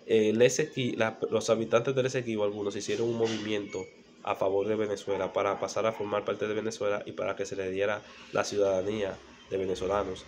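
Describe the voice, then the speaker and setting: A man's voice: lowish voice, level tone, a little fast, slight background noise. A man with a Dominican Spanish accent presents a geopolitical history explainer, speaking didactically with rhetorical questions and repeated phrases. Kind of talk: explanatory history monologue to camera.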